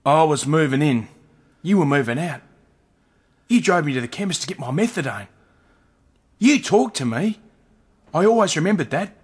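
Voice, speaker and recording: A male actor playing a streetwise, excitable former squatter in a radio play, slangy and urgent, with a husky Australian accent. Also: on the high side. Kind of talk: radio drama acting.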